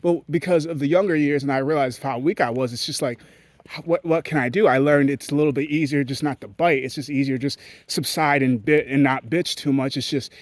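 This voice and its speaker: A man's voice: brisk, dry recording. A man from Haiti giving a blunt, profane, rambling monologue to his audience as a vlogger. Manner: blunt, profane and rambling, with sudden jumps in pitch. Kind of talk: candid ranting monologue.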